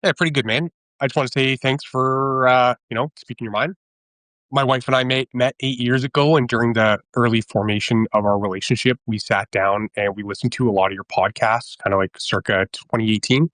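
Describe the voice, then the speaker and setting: A man's voice: medium pitch, fairly even intonation, brisk, fairly bright tone, no echo, rough recording. A man, chatting casually as a caller to a show. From Canada. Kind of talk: casual chat.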